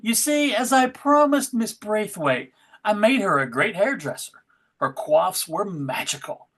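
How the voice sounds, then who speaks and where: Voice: medium pitch, animated, a little slow, a bit bright. An actor playing a pompous, slippery devil in a live comedy table read, given to indignation and bellowing. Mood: smug.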